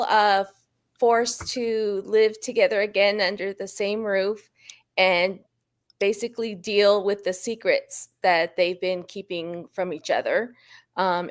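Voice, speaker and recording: A woman from Southern California answering casually as a guest author on a video call, pausing often as she goes. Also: medium pitch, steady tone.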